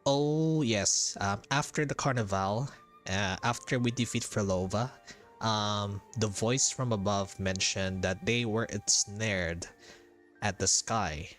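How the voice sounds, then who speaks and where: A man's voice: on the low side, takes it slowly. A man doing casual, chatty live gameplay commentary, often repeating himself. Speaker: game streamer. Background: soft game music.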